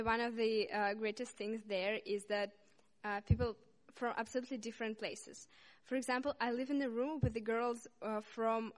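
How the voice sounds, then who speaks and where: A young woman from Saint Petersburg, Russia, a student, speaking haltingly to a church congregation with many pauses and restarts. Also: fairly high pitch. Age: young adult. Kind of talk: informal speech to a congregation. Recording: reverberant hall.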